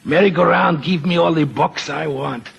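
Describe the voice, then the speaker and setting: An actor playing a cheerful, big-hearted Mexican carousel operator with a Mexican accent in an old radio drama. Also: male voice, a little high, moderate pace, gravelly, breathy edge, full character voice. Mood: jovial, warm. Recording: old radio broadcast recording.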